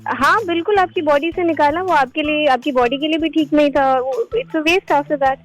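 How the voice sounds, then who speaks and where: A woman's voice: high voice, normal speed, soft background music. A woman speaking as a doctor on a phone call, polite and explanatory with some hesitation. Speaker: doctor, prank call recipient.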